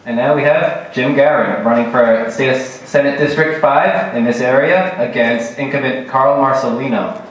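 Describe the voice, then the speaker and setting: A man's voice: medium pitch, moderate pace, slight background noise. A man who is moderating a forum announces the speakers in a plain, announcing manner. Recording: very echoey hall.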